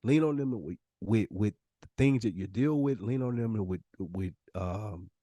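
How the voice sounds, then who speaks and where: Deep-voiced American man giving emphatic advice. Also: expressive, measured pace, mellow, no room sound. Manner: emphatic, repeats phrases for weight. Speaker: podcast co-host. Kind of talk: conversational advice on a talk podcast.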